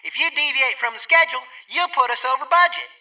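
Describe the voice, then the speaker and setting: A man's voice: extremely high pitch, quick pace, bright, forceful tone, distant mic, dull audio, full character voice. A man playing an officious, exasperated administrator in a video game, argumentative and clipped. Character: officious, exasperated male budget-minded administrator.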